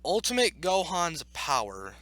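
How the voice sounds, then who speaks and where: A man hosting a video, reading aloud and arguing back with blunt, opinionated off-the-cuff commentary in a halting, self-correcting way. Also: a little high, huge pitch swings, fairly bright tone, no echo. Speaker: video host reacting to an article.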